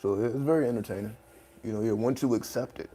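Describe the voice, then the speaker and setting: A man with a deep voice talking in a laid-back, casual monologue, loose with slang and swearing. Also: mellow, husky. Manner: laid-back, profane, streetwise slang, drawn-out phrasing. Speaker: channel host.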